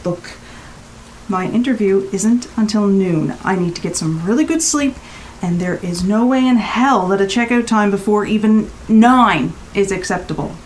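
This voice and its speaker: An actress playing a blunt, exasperated rental guest in an audio drama, with emphatic, clipped phrases. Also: female voice, medium pitch, normal speed, slight background noise, lightly acted, poor audio. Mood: incredulous, firm. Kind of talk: naturalistic audio drama acting.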